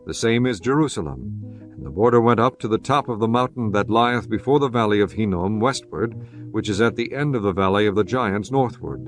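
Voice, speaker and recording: A man narrating scripture in a measured, steady reading voice. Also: lowish voice. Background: guitar music.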